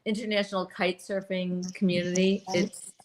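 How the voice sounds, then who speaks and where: A woman co-hosting a casual chat, encouraging and warm. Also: mid-range voice, flat delivery, normal speed, low-quality recording.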